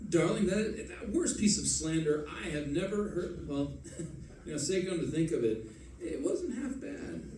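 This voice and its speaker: An actor playing a suave, wry, easygoing detective husband in the style of 1940s radio drama. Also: male voice, fairly high pitch, big pitch swings, a little slow, warm tone. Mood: amused banter. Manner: wry, droll delivery. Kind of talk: live recreation of 1940s radio acting. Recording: echoey hall, distant mic, faint noise.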